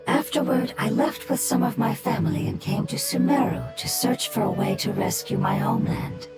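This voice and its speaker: Gentle ancient game creature: breathy, formal, sorrowful, with wide, expressive pitch swings. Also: female voice, exaggerated voice. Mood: solemn, sorrowful. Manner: solemn, measured, formal storytelling. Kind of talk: scripted video game dialogue. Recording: game audio under loud music.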